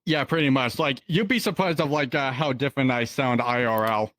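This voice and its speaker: A man's voice: mid-range voice, fairly quick, fairly bright tone. A young man chatting casually as a podcast guest, rambling with lots of fillers and restarts. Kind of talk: casual chat.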